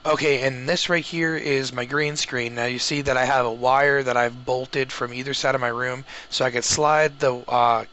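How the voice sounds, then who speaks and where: A man's voice: medium pitch, steady tone, moderate pace, hardly any pauses, bright, forceful tone. A man gives an informal how-to tutorial, explaining things step by step in a casual way. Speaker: tutorial host, gamer and streamer.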